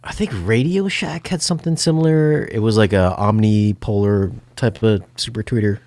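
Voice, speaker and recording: A man's voice: on the low side, moderate pace, a little mellow, no room sound. A man hosting a casual interview, speaking informally in short reactions and questions. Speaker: host.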